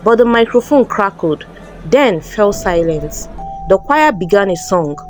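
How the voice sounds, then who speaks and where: A woman's voice: mid-range voice, expressive, unhurried. A woman storyteller giving a scripted, dramatic narration of a folktale. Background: background music.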